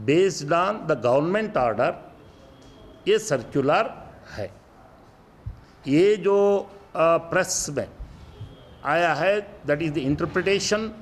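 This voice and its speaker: A man giving a halting official press statement, mixing in English words. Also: medium pitch. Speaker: official spokesman. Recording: some background noise.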